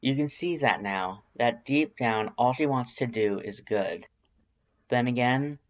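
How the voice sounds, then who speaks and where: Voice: medium pitch, lo-fi sound. A narrator reading a story aloud in a scripted audiobook style.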